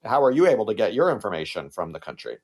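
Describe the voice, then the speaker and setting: A man's voice: fairly low pitch, big pitch swings, fast talker. A man hosting a radio call-in show, reading listener emails and asking a reporter questions.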